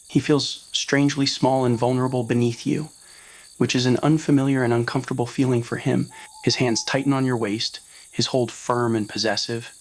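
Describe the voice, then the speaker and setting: Narrator of romantic roleplay audio. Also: medium pitch.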